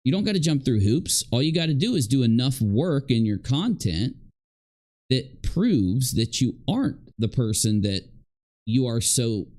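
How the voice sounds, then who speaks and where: A man's voice: mid-range voice, big pitch swings, measured pace, darkish tone. Emphatic man on a rambling livestream rant. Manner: emphatic, repetitive, accusatory. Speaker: livestream host and video creator.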